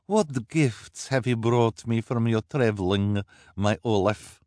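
A man voicing a scheming, greedy female fortune-teller who flatters and speaks broken English with a strange foreign accent. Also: mid-range voice, slow, dry recording, studio quality, voice pushed up, close to an everyday voice. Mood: fawning. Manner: wheedling, ends phrases with "please". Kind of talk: audiobook character voice.

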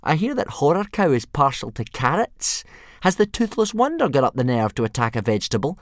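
A man plays a swaggering young Viking bully who sneers and mocks in a raised, lively voice. The sound is close and dry. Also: mid-range voice, brisk, no room sound, pitched above the performer's own voice, lightly acted. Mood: sneering. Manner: mocking and sneering.

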